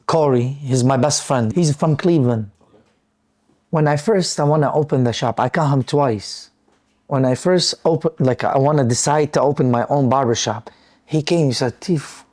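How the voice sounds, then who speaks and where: A man's voice: a little high, darkish tone. A man hosting a casual podcast, telling stories animatedly in loose, non-standard English and saying "bro" often. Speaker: podcast host, barbershop owner. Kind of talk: casual storytelling chat.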